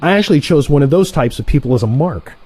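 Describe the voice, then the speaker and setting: A man playing a cocky, easily irritated assassin in a comic audio play, complaining in an animated way. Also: on the high side, quick pace, slightly rough. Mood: smug.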